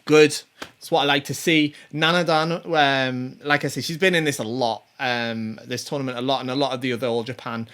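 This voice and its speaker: Man, forties, show host, casual chatty commentary, lots of ums and restarts, mid pitch. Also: fairly even intonation, moderate pace, a bit bright, clear, resonant tone. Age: 40s. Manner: rambling, many ums and restarts; chatty, chuckles to himself. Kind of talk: casual commentary on tournament players.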